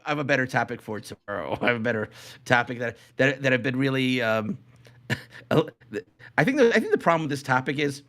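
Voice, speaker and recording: A man's voice: medium pitch, lively intonation, a little fast, a bit bright. A man co-hosting a podcast in casual banter, rambling along and often repeating his phrases. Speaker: podcast co-host.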